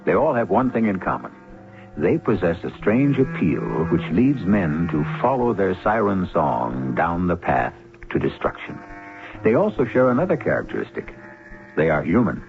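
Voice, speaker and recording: An old radio broadcast with music behind a man's deep, gravelly voice. He hosts the drama with theatrical, sardonic narration, measured but richly inflected. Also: very animated, narrow, tinny audio. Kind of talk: scripted dramatic host narration.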